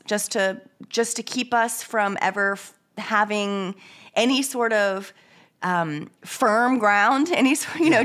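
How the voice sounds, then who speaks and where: A woman with a hoarse, congested voice from a cold, chatting casually as a podcast co-host and rambling through many restarts. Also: a little high, a bit bright. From United States. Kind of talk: casual chat.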